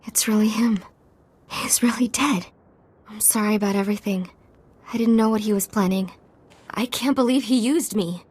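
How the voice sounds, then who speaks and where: An actress playing a weary, remorseful but defiant female defector in a video game, with a breathy voice. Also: mid-range voice, moderate pace, long pauses, darkish tone, close to an everyday voice. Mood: shaken, remorseful. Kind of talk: video game drama acting.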